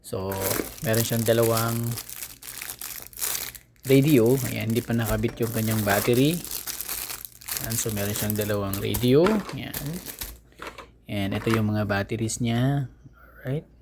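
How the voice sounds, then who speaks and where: A man's voice: on the low side, steady tone, unhurried, pauses often, noisy background. A man with a Filipino accent giving a casual unboxing and review, informally explaining things step by step to his viewers. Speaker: vlogger, product reviewer.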